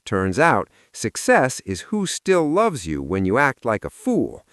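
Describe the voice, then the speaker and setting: A man's voice: mid-range voice, huge pitch swings, unhurried, a bit bright, no room sound, clean studio sound. A man as a humbled, apologetic young groom. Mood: humbled, sincere. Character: humbled young nephew, ashamed and remorseful groom.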